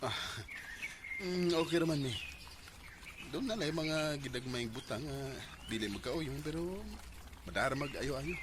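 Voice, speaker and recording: A man's voice: mid-range voice, unhurried, long pauses, background noise, close to an everyday voice. A man with a Cebuano accent acting a frustrated, hesitant husband in a Bisaya radio drama. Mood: friendly. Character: frustrated, hesitant husband tempted by another woman.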